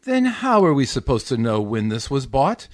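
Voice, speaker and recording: A man's voice: mid-range voice, sing-song, moderate pace, close to an everyday voice. A man playing an officious, falsely genteel department store clerk in a 1940s-style radio comedy, fussy and pompous. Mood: haughty, irritated.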